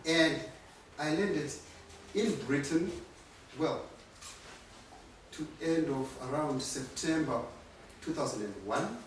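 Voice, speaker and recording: A middle-aged man from Zimbabwe telling his life story at a community workshop, unhurried but lively when acting out moments. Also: a little high, slight background noise. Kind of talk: personal storytelling. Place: community centre room. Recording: echoey room, distant mic.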